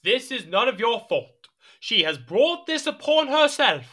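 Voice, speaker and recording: A man's voice: extremely high pitch, very animated, a little slow, pushed and bright, exaggerated voice. An actor playing a petulant, imperious young king in an audio drama, whiny and theatrical. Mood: peevish. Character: petulant, excitable young French king, easily outraged.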